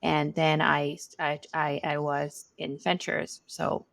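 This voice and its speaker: A woman's voice: deep voice, flat delivery, takes it slowly, vocal fry, fairly bright tone, no room sound, studio quality. A middle-aged woman from Hong Kong who is a venture capital partner, answering interview questions in a chatty way with many "you know"s.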